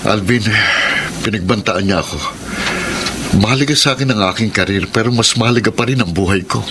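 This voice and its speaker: An ambitious, proud showbiz actor voiced by a man in a radio drama. He speaks in a lowish, earnest, brooding voice, with clear noise behind him. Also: brisk, close to an everyday voice. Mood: troubled. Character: ambitious, troubled male actor, proud and conflicted.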